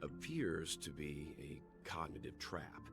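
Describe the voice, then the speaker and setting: An actor playing a composed, analytical gentleman in a video game, measured and serious. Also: rumbling low, lively intonation, exaggerated voice. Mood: grave, composed. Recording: game audio under loud background music.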